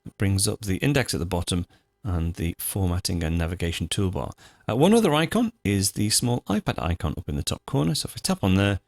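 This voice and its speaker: A man hosting a software tutorial, talking through each step in an informal, unscripted, explanatory way. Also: low-pitched, sing-song, a little fast, no room sound, studio quality. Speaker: host of a software tutorial show. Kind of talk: screencast tutorial, unscripted walkthrough.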